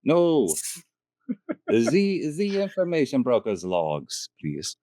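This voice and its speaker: A man's voice: medium pitch, huge pitch swings, slow pace, mellow, no echo. A man voicing a coy, teasing old grandmother who trades in information, in a live tabletop game.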